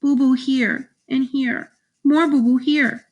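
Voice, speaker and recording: An excitable little boy from a children's audiobook, played by a woman. The voice is very high and babyish. He repeats short phrases and demands what he wants. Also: slow, lo-fi sound. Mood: plaintive.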